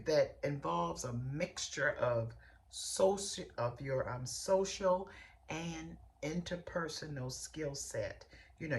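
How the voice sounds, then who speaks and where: A middle-aged woman hosting a motivational podcast lesson, hoarse from a summer cold, with a raspy, bassy voice and a chatty manner that speaks straight to her listeners. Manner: warm, chatty, direct address to listeners. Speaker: podcast host, hairstylist and coach.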